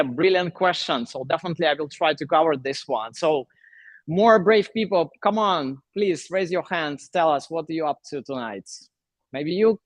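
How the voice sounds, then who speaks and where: A man's voice: on the high side, no echo, rough recording. Encouraging male workshop host. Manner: encouraging, calls on audience members. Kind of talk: hosting an audience Q&A.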